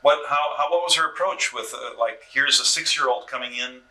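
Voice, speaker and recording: A man interviewing an older family member, gently prompting him and rephrasing his questions again and again. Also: mid-range voice, brisk. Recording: distant mic on old videotape.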